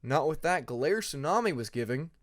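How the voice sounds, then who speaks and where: A man's voice: fairly high pitch, animated, normal speed, dry recording, studio quality, close to an everyday voice. A man voicing a tired, grumbling young male dragon student in an audiobook story. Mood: exasperated. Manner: grumbling, sighing.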